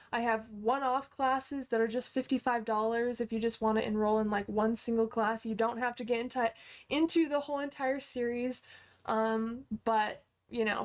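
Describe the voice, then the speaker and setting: A woman in her twenties hosting a casual livestream, chatting upbeat with viewers, full of fillers and restarts. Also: on the high side. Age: 20s. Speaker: livestream host and online course teacher. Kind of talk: casual livestream chat, answering viewer comments.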